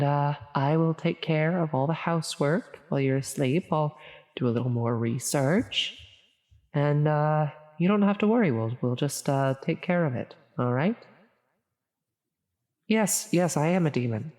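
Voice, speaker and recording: A woman's voice: deep voice, a little mellow. Reverberant, flustered female demon, acted by a woman. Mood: flustered, indignant. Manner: stammering, self-interrupting, reassuring, chatty. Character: flustered, caring female demon insisting she is evil. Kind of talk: soft comfort audio roleplay. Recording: long reverberant echo on voice.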